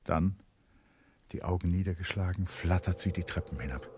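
A man narrating a literary radio drama in a measured, precise, dramatic manner, as an intimate observer. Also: sits low, steady tone, a little mellow, husky. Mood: tense, observant.

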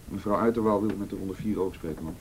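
An awkward teenage boy in a radio drama. His voice is low and hesitant, concerned in tone. Faint hiss and clicks are on the recording. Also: fairly even intonation, racing, a little mellow. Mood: earnest, uneasy. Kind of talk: Dutch radio play acting.

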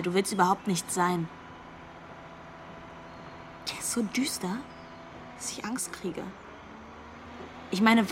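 A woman's voice: medium pitch, a bit bright, a little hiss or hum, close to an everyday voice. An actress playing a frank, troubled young girlfriend giving testimony in a radio drama, blunt and confiding. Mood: uneasy, confiding. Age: young adult.

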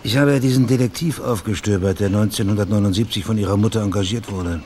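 Male private investigator, played by an actor, radio crime play: low register, plain-spoken, probing, background ambience audible. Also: quick pace, darkish tone, slight background noise, natural voice. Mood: matter-of-fact. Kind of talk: German radio crime drama acting.

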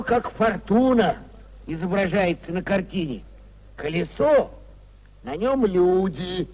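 An actor playing a sanctimonious, fretful old government clerk in a 1950s Soviet radio play, moralizing in a pompous, lamenting manner. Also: male voice, high-pitched. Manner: pompous, unctuous, sententious, lamenting. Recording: dull 1950s radio recording.

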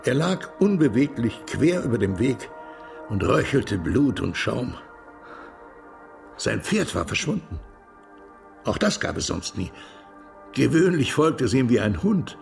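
A man's voice: medium pitch, big pitch swings, unhurried, vocal fry, long pauses, a little mellow, faint music behind. An actor playing a Russian émigré ex-cavalryman who reminisces animatedly in a German radio drama. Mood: grave recollection.

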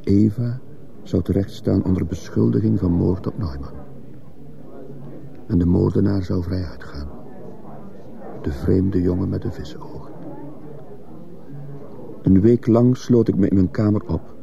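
Deep, creaky, very dark-voiced male schoolteacher. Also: measured pace, long pauses, lo-fi sound, full character voice. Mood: grave narrating. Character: troubled male schoolteacher, narrating his own story. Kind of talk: radio drama acting and first-person narration.

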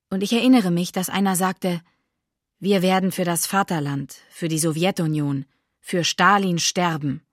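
A woman playing a sombre eyewitness giving measured, factual testimony in a radio docudrama. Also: lowish voice, no room sound, clean studio sound, lightly acted. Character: sombre female eyewitness recalling prisoners' last march.

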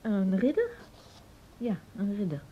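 A woman's voice: medium pitch, big pitch swings, unhurried, breathy edge, highs cut off. An actress playing a woman rambling strangely on a tape in a radio drama. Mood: dreamy storytelling.

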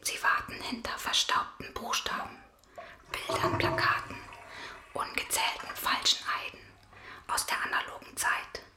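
A woman delivering a literary monologue in a hushed, breathy whisper. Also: echoey room.

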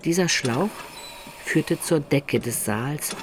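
An actor playing a storyteller who recounts his medieval adventures as measured radio play narration. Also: a little high, animated, background noise. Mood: recounting.

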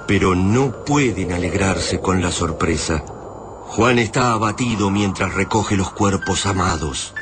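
A man's voice: deep voice, a little slow. A man with an Argentine Rioplatense accent giving dramatic scripted narration for a radio drama. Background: prominent dramatic music.

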